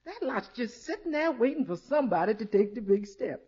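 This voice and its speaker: Folksy housekeeper, high voice, deferential, hinting, muffled old radio comedy recording. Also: female voice, big pitch swings, fairly quick, dark tone, slightly rough. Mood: coaxing. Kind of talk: 1950s radio situation comedy acting.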